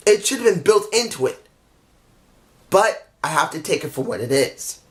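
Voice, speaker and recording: A man with a somewhat high voice, hoarse from talking, picks apart a wrestling show in a loose, opinionated way. He rambles and restarts his sentences, honest and a bit frustrated. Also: big pitch swings, normal speed. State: losing his voice. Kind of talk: casual opinionated review.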